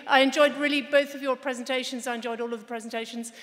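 A woman's voice: sits high, fairly even intonation, quick pace, fairly bright tone. A woman in the audience asking the panel a question, earnest and slightly rambling. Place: large hall. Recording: hall reverb.

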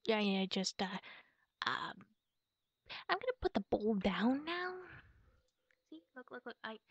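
A woman's voice: on the high side, vocal fry, a bit bright, dry recording, full character voice. A woman playing a playful, sneaky, easily flustered girlfriend in a silly ASMR roleplay, with an over-innocent manner. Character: sneaky, flustered girlfriend who loves candy.

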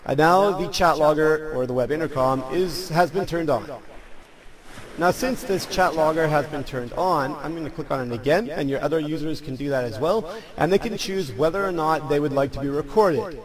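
A man with a fairly high-pitched voice narrates a step-by-step software tutorial. He speaks as a presenter addressing his viewers. A clear murmur of a crowd sits behind his voice. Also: normal speed.

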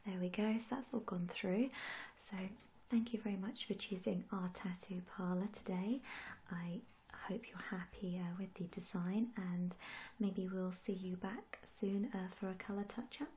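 A young woman performs a soft-spoken ASMR roleplay as a service worker. Her voice sits at a medium pitch and is hushed and gentle, drifting into whispers. There is clear noise behind her in the recording. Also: steady tone, normal speed. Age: young adult. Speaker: ASMR creator playing a tattoo artist. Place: small room indoors.

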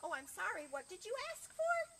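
A woman's voice: very high voice, big pitch swings, brisk, fairly bright tone, slight background noise, voice pushed up, full character voice. A woman voicing a friendly, apologetic children's librarian in a story, with exaggerated polite questioning. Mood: puzzled, polite.

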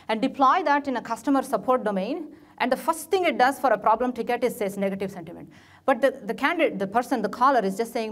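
A middle-aged woman with an Indian English accent giving a technical presentation in a lecture room, with many fillers and restarts. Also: mid-range voice. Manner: frequent fillers and restarts, gestures at slides. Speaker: conference speaker. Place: lecture room, presenting in front of a projected slide.

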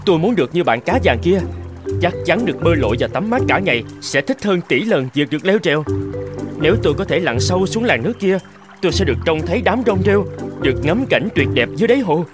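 A wistful, eager dog from a children's cartoon, acted by a man. The voice is a slightly high male one that swings up and down expressively, with music behind it. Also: very animated, fast. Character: restless, wistful male dog wishing to change.

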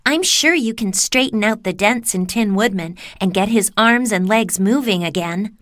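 A woman's voice: medium pitch, moderate pace, a bit bright, no echo, clean studio sound, pitched above the performer's own voice, lightly acted. A woman voicing an earnest, determined young girl in a storybook, speaking eagerly.

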